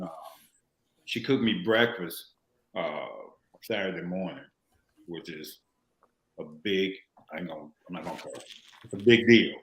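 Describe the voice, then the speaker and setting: A man telling a story in casual, animated chat on a talk show. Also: lowish voice, reverberant, low-quality recording. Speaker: co-host.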